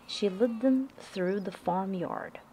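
Female storybook reader for young kids, unhurried and deliberate, slightly low pitch, faint noise behind. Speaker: host reading picture books to children. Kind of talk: read-aloud storybook narration.

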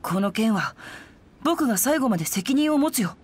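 A woman's voice: on the high side, big pitch swings, normal speed, a little mellow, slightly rough. A woman voicing a refined, chivalrous teenage boy swordsman in a Japanese game dub, polite and composed. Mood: composed, earnest. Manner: polite, composed, literary phrasing. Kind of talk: Japanese anime-style game dub acting.

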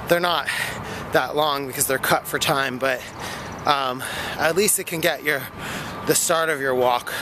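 A man in his thirties talking to a phone held at arm's length while walking in a park, chatty and rambling with many fillers and out of breath. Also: a little high. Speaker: vlogger. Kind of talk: casual walking vlog. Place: outdoor park path. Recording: noisy outdoor phone recording.

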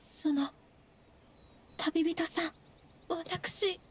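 A woman voicing a polite, earnest, slightly shy young noblewoman in a Japanese anime game, speaking gently and formally. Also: very high-pitched, steady tone, extremely slow, a little hiss or hum, heavily acted. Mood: shy, resolved. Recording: soft game music behind.

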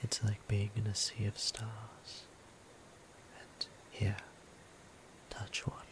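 A man playing a gentle, courteous, centuries-old vampire priest in an intimate ASMR roleplay, speaking formally and tenderly in a hushed half-whisper. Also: on the low side, monotone, slow, poor audio. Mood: tender, softly awed.

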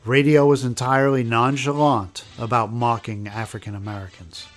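Male documentary narrator, scripted read, average pitch. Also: steady tone, moderate pace, soft background music.

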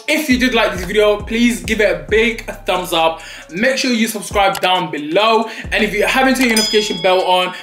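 A man's voice: sits high, bright, forceful tone, echoey room. A young man, chatting dramatically and playfully in a food vlog while eating at a table. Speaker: vlogger. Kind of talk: mukbang vlog chat. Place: small indoor studio set at a table.

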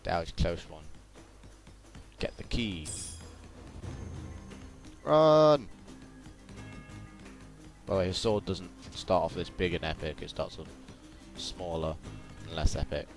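A man's voice: low-pitched, takes it slowly, pauses often. A man giving casual, playful let's-play commentary, chatty and full of exclaimed sound effects. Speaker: gaming video host. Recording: game music behind, doubled game audio. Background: video game music and sounds.

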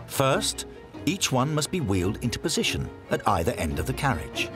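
A man's voice: medium pitch, wildly swooping pitch. Man narrating a documentary, swooping intonation, music bed underneath. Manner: measured, storytelling delivery. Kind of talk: scripted narration. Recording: voice-over with background music.

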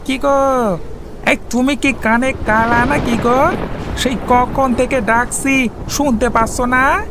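A man's voice: extremely high pitch, steady tone, slow, noisy background, exaggerated voice. A man voicing a rustic village farmer who calls out in colloquial speech in a horror cartoon. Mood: wary, irritated. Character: rustic male farmer, irritable, then terrified.

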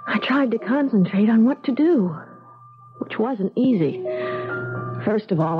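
An American actress playing a spirited, flippant young bride in a 1970s radio drama, wry and brisk. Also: female voice, mid-range voice, natural voice. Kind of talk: 1970s radio drama acting, first-person narration and dialogue. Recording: dull 1970s radio recording with music.

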